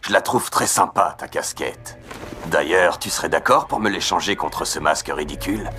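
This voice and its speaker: Deep-voiced, taunting brute villain. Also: male voice, moderate pace, faint music behind. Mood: mocking. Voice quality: deep, forceful. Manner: taunting, falsely friendly. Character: hulking sadistic male villain, mocking and bloodthirsty. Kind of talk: French-dubbed anime acting.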